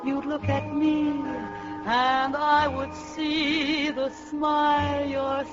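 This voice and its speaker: A woman's voice: high voice, little pitch movement, drawn-out words, over music. A woman singing 1950s pop as a featured radio vocalist. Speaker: featured singer. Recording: dull 1950s radio recording.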